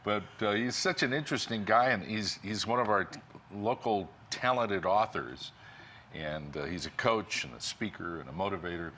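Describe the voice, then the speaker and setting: A man's voice: on the low side, moderate pace, slight background noise. A man hosting a morning show, chatting casually in a friendly, joking way. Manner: friendly, joking, relaxed banter. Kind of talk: casual radio chat.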